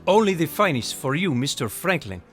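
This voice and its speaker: An actor playing an obsequious, grandiose hotel manager in a radio drama, formal and flourishing. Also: male voice, a little high, big pitch swings, brisk, over loud music. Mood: grand, fawning.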